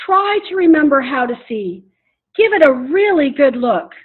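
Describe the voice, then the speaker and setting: A woman playing an insistent, nagging questioner, deliberate and pressing, in a play reading. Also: high-pitched, big pitch swings, phone-quality sound. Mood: coaxing. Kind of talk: virtual readers theater play reading.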